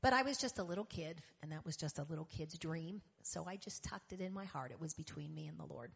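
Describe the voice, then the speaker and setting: A woman's voice: low-pitched, lively intonation, fast talker, creaky, fairly bright tone, husky. A woman from western Pennsylvania, a guest speaker giving a chatty church testimony with fillers and light jokes. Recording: dull sound with long hall reverb.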